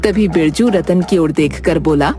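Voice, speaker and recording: A woman's voice: sits low, moderate pace, music in the background. A woman narrating a cartoon moral story from a script.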